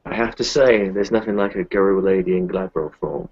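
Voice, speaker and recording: Man playing a low, husky, blunt werewolf. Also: fairly quick, darkish tone, muffled recording. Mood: wry. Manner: blunt, casual. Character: sceptical, blunt male werewolf healer, swearing and teasing.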